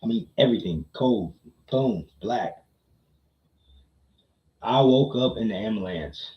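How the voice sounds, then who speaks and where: Slow, low-voiced man storytelling in echoey room. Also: lively intonation. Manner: slangy, repeats phrases for emphasis with frequent tag questions. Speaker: livestream storyteller and host. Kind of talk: casual chat, personal storytelling to a live chat. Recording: muffled, roomy echo.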